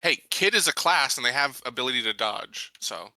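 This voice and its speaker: A man playing a tabletop game, talking conversationally and somewhat haltingly as he plays. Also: medium pitch, lively intonation, bright, forceful tone, no echo.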